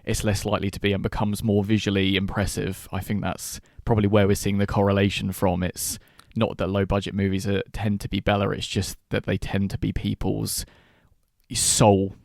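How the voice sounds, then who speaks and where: A man with a slightly low voice joins a casual film discussion as co-host. He phrases things tentatively, feeling his way through each point, in a dry, close recording. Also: little pitch movement, normal speed, creaky, a little mellow, dry recording. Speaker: podcast co-host.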